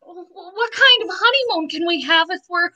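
A woman's voice: very high-pitched, lively intonation, moderate pace, sharp tone, muffled recording, full character voice. A young woman playing a fearful, naive, clinging bride in melodramatic old-time radio mystery style, plaintively. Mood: frightened, plaintive. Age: young adult. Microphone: video call. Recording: faint music behind.

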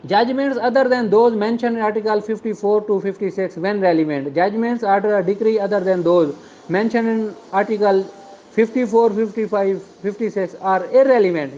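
A man with a Pakistani accent speaks in a high voice for a male teacher. He explains in an informal lecturing style and drops English terms into his sentences. The recording is somewhat dull and lo-fi. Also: steady tone, extremely slow, darkish tone. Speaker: law teacher.

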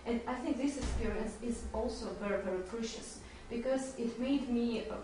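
A woman's voice: on the high side, normal speed. Young woman student, Belarusian accent, earnest spontaneous answer, far from the mic with noticeable noise. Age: young adult. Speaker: university student. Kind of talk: earnest unscripted answer to a panel. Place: small seminar room. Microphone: distant camera microphone. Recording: echoey classroom, far mic, noisy.

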